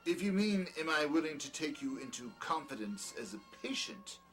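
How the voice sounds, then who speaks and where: Man acting a haughty doctor with animated pitch. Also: moderate pace, soft background music. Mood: condescending, probing. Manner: formal, wordy, haughty. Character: peculiar, condescending male doctor, smoothly charming yet scornful. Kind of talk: dramatic dialogue in an audio play or table reading.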